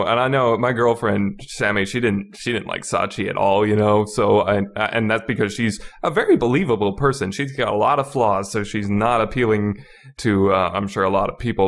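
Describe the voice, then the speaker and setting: A man giving a casual, unscripted podcast review, rambling informally with lots of "you know" and repeating points for emphasis. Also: on the low side.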